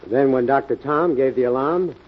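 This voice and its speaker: An actor playing a matter-of-fact police inspector in 1940s radio drama style. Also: male voice, medium pitch, moderate pace, close to an everyday voice. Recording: muffled vintage radio recording.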